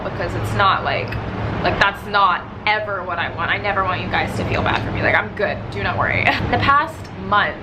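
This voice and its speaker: A woman's voice: medium pitch, noisy background. Stuffy young woman talking candidly. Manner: rambling and heartfelt, lots of fillers. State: stuffy, congested from allergies. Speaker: gaming video creator. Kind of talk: candid casual vlog talk.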